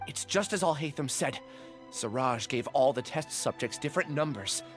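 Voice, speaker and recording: Man acting an earnest young man, medium pitch, gentle, hesitant, apologetic, game dialogue, soft music. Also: animated, normal speed, close to an everyday voice. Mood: recalling earnestly. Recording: music playing behind.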